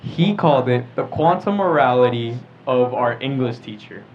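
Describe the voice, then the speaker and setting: A man's voice: mid-range voice, lively intonation, moderate pace, dull audio, faint music behind. A teenage boy from New York chatting casually and telling stories as a podcast co-host. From New York, United States. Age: teens. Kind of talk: casual chat, storytelling.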